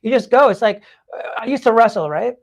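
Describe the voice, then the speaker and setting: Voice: medium pitch, lively intonation, quick pace. A podcast host talking casually and emphatically in a rambling way, swearing freely while explaining ideas. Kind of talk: casual talk explaining book takeaways.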